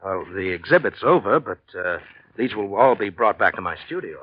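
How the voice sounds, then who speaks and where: An actor playing an earnest, polite, somewhat hesitant struggling painter and husband in a 1940s radio drama. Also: male voice, lowish voice, expressive, normal speed, husky, no room sound. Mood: polite, flustered. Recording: dull lo-fi 1940s radio recording.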